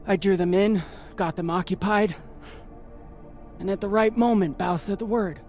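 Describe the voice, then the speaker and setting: A hardened, grieving space pilot played by a man speaks in a notably high voice. The delivery is terse and wry, salted with profanity, as in a dramatic sci-fi game, with music underneath. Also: normal speed, a little mellow, natural voice. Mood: regretful. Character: hardened, haunted, foul-mouthed space pilot grieving a husband. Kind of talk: dramatic video game dialogue.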